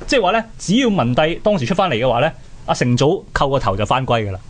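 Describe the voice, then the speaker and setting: A Hong Kong man co-hosts a history discussion at a quick pace. He explains in colloquial, conversational language, with a medium-pitched voice. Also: big pitch swings. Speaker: radio history programme co-host.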